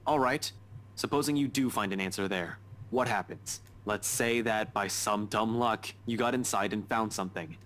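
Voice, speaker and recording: A man's voice: on the low side, normal speed, a bit bright, natural voice. A man playing a stern, protective young man in a visual novel, firm and insistent. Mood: challenging. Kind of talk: dramatic visual novel dialogue reading.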